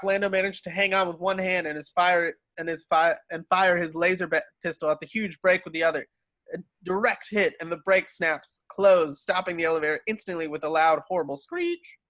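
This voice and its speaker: Male narrator, slightly high voice, dry close sound, reading scene directions from a script. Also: a bit bright, no room sound.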